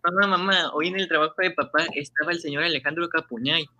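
A man's voice: on the high side, steady tone, quick pace, sharp tone, slight background noise. A man with a Peruvian accent playing an eager, talkative schoolboy in an amateur radio drama, earnestly reciting to his parents. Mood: earnest, chatty. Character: Juancito, eager, talkative, obedient schoolboy son. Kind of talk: amateur Spanish-language radio drama acting.

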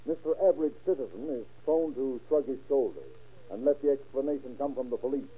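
A man narrating a scripted 1930s radio crime show in a formal, measured, dramatic manner with a husky voice. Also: mid-range voice, animated. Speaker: narrator and announcer. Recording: dull old radio recording.

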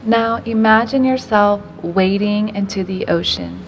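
Female meditation guide, mid-pitched, slow and gentle, phrases trailing softly into breath, music underneath. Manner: slow, unhurried, gentle delivery with soft breathy phrase endings.

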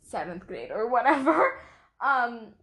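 A woman's voice: on the high side, big pitch swings, slow, fairly bright tone. A woman with a noticeable accent hosting her own podcast, rambling and laughing at herself as she chats. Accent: non-native accent. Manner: rambling, self-deprecating, laughs often. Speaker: podcast host. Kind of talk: casual solo podcast chat.

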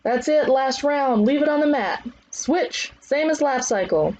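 A woman voicing a loud, foul-mouthed, demanding old combat trainer who barks commands in an audiobook. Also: medium pitch, animated, moderate pace, husky, highs cut off. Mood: commanding. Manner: barking commands. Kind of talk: acted audiobook dialogue.

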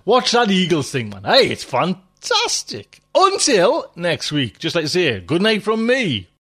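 A man's voice: sits high, a little slow, breathy edge. A man hosting a podcast, casually telling a rock music anecdote in a chatty storyteller's way and signing off for the week. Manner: lively, chatty storyteller with laughs and asides. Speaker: podcast host.

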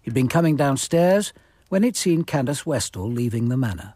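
An actor playing a composed police commander in a radio drama, measured, formal and courteous but firm. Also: male voice, mid-range voice, sing-song, dark tone, breathy edge, no room sound. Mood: matter-of-fact. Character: composed, authoritative male police commander questioning suspects. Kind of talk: radio drama acting and first-person narration.

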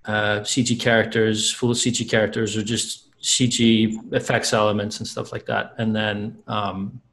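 A man from Iceland with an Icelandic accent, speaking English as a second language as an interview guest, measured and pausing often to find his words. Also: on the low side, little pitch movement, a bit bright, a little hiss or hum. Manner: measured, searching for words with many ums. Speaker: guest, visual effects artist. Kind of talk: casual interview.

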